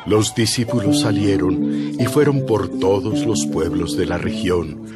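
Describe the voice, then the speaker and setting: A man narrating a dramatized audio Bible from a script, with expressive storytelling emphasis. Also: low-pitched, a little slow. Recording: loud background music. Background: music.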